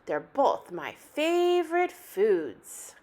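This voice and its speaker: A woman reading a picture book aloud like a teacher, with animated, deliberate phrasing meant for young children. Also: sits high. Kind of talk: expressive children's story read-aloud.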